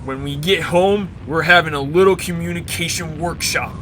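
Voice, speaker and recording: A man acts a righteous masked crimefighter in a campy audio drama. The voice sits fairly high for a man, emphatic and commanding, with loud rumbling noise clearly behind it. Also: moderate pace, lightly acted. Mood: annoyed. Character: stern, righteous male masked superhero crimefighter. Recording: noisy, rumbling engine and explosions behind.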